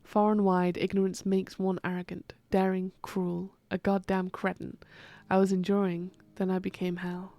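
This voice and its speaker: A woman's voice: mid-range voice, level tone, normal speed, hardly any pauses, mellow, dry recording, lightly acted. Woman playing a blunt old witch. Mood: boastful. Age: older. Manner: blunt, sardonic, crude. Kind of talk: fan audio drama.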